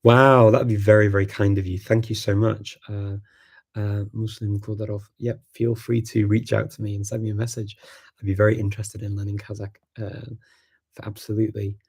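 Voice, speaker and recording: A man's voice: fairly low pitch, flat delivery, normal speed, darkish tone, slightly rough. A man whose first language is Macedonian, hosting a live stream and chatting casually in answer to viewer questions, thinking aloud with many ums and restarts.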